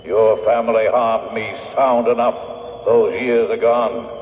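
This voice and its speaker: A gloating, vengeful phantom with a low voice. He speaks ominously in archaic phrases, wrapped in long ghostly reverb. The sound is a narrow, old radio horror broadcast. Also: little pitch movement, measured pace. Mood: menacing, gloating. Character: menacing male vengeful spectre haunting a cursed family. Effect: reverberant ghostly echo. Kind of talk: 1970s radio horror drama acting. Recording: echoing, band-limited old radio recording.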